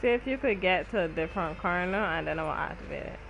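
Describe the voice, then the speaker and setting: A woman's voice: fairly low pitch, lively intonation, fast talker, gravelly, fairly bright tone, faint music behind. A woman chatting casually and in slang with co-op partners while playing a game, often repeating phrases. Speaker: gaming video creator. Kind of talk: casual gameplay chat with co-op partners.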